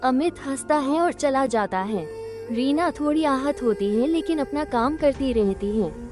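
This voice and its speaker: A woman narrating a children's moral story in an even, simple storytelling manner. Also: sits high, unhurried, music in the background.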